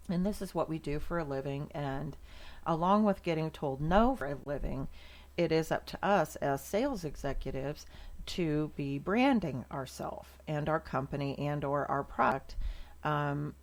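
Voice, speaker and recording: Low-voiced American woman, chatty and emphatic. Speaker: sales trainer hosting a morning segment. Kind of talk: casual motivational talk to viewers.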